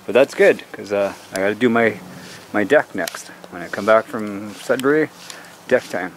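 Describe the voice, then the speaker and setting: A man's voice: fairly low pitch, normal speed, slight background noise, low-quality recording. A middle-aged man chatting casually in his backyard while doing chores, rambling in an easygoing way. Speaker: vlogger. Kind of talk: casual chat. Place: backyard outdoors.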